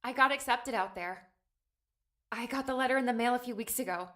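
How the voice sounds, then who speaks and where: Woman playing young woman, high-pitched, bright tone, earnest, hesitant, audiobook character. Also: brisk. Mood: earnest, anxious. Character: earnest, homesick young woman, eighteen in memories.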